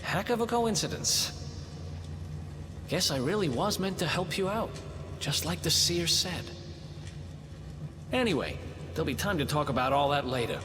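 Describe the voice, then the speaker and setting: A man's voice: on the high side, expressive, normal speed, pauses often, noisy background, natural voice. An actor playing a cocky young male thief in a video game, casual and streetwise with a wry, sounding delivery. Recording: game cutscene with long reverb.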